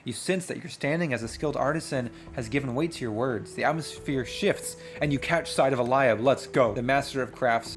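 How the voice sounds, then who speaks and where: A man playing and narrating a role-playing game, reading story passages aloud and thinking out loud in an excitable way. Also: mid-range voice, slight background noise. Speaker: solo role-playing game player and streamer. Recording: music playing behind the voice. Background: music.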